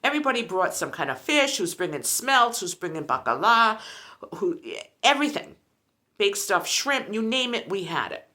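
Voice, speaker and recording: Woman in her sixties for a vlog, chatty and rambling, bright animated delivery. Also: medium pitch, normal speed. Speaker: lifestyle vlogger. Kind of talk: casual chat.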